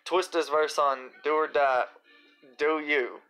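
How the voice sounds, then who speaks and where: A man with a slightly raspy voice, hosting a casual live Q&A, reading viewer comments and answering in a laid-back, rambling way with slang. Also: on the high side, fairly even intonation, takes it slowly, pushed and bright, soft background music.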